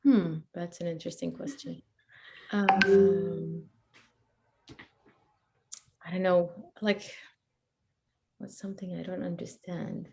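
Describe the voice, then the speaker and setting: Brief: Iraqi-born woman, 30s, low-pitched, relaxed and chatty with frequent laughs, as an interview guest. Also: slow pace, gravelly, pauses often, slight background noise. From Iraq. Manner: thoughtful, laughs easily, many fillers. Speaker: guest, education counselor and photographer. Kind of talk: casual interview chat.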